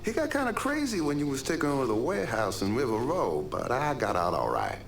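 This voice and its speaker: An actor playing a cheeky, chatty small-time crook in a video game cutscene, slangy and joking. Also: male voice, medium pitch, wildly swooping pitch, fairly quick, vocal fry. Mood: cocky, amused. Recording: roomy echo, faint background noise.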